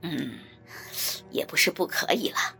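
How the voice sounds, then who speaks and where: A woman voicing a lecherous, menacing male shop villain with a breathy, taunting delivery in an audiobook story. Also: low voice, expressive, measured pace, pushed and bright, faint music behind, voice pushed down, heavily acted. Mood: mocking, coercive.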